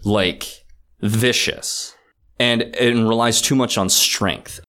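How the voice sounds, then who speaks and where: A man's voice: medium pitch, steady tone, takes it slowly, fairly bright tone. A man co-hosting a casual film discussion, earnest and thinking aloud as he goes. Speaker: podcast co-host.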